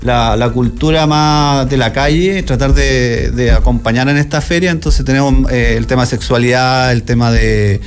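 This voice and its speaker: A man's voice: medium pitch, normal speed, hardly any pauses. A man in his thirties with a Chilean accent, explaining an event as a studio guest. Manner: explanatory, hesitant with fillers and restarts. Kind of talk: radio interview. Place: small radio studio. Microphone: studio mic with headphones.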